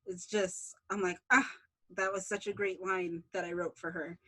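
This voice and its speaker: A woman being interviewed as a writer, chatting casually in an animated, self-deprecating way. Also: on the low side, moderate pace.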